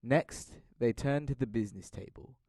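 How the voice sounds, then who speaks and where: A man's voice: medium pitch, normal speed, darkish tone, no echo. A young man reading scripted dramatic narration of a classic tale, as narrator of an audio drama. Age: young adult.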